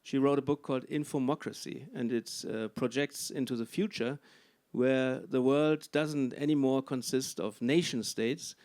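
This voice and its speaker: Male panelist of medium pitch, thoughtful and halting. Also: fairly even intonation, darkish tone. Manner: hesitant, many fillers and restarts. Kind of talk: panel discussion answer.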